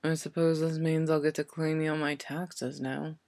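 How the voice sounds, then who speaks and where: A woman reading an audiobook story and voicing a sardonic male wizard, deadpan and coldly unmoved, with a muttering, pointed delivery. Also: deep voice, moderate pace, no echo. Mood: dry, pointed. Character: sardonic, bland, sneering adult male wizard.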